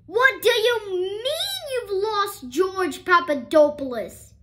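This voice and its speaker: A woman's voice: very high-pitched, very animated, slow, pitched above the performer's own voice, full character voice. A woman voicing a stern, easily outraged female schoolteacher from a storybook, scolding with indignant emphasis. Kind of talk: storybook character voice.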